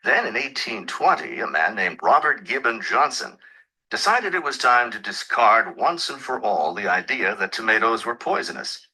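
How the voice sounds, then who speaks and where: A man reading a scripted educational lecture for a listening test in a measured, deliberate manner. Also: mid-range voice, bright, forceful tone, poor audio.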